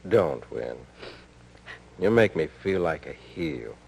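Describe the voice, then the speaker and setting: A man's voice: extremely low pitch, very animated, takes it slowly, vocal fry, husky, heavily acted. An actor playing an earnest, conflicted married man in a 1950s style radio soap, on a humming old recording. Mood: anguished, urgent.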